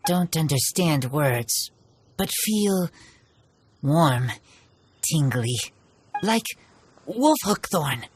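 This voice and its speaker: An actor voicing a shy feral teenage wolf boy in a video game, speaking in halting, simple fragments. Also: mid-range voice, expressive, a little hiss or hum. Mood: shy, sincere.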